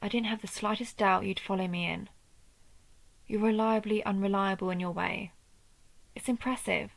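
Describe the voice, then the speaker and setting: A wounded, proud vampire huntress acted by a woman with a creaky, measured voice, the sort heard in a late-night audio drama guarding a friend outside a ruined temple. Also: medium pitch, fairly even intonation, natural voice. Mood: wry, resigned. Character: injured female vampire hunter of vampires, protective, proud, wry. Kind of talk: scripted audio roleplay addressed to the listener.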